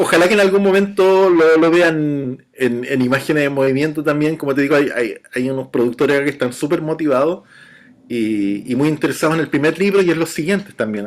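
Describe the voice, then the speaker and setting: A middle-aged man with a Chilean accent, a novelist being interviewed, talking in a relaxed, chatty way with frequent restarts. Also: on the high side, a little fast. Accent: Chilean Spanish. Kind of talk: casual interview talk.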